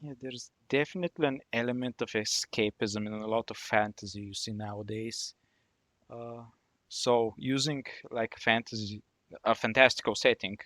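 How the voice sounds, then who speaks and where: Low-voiced man asking halting questions. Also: fairly bright tone, no echo, studio quality. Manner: measured, halting with uhs. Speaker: podcast co-host. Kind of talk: casual podcast interview questions.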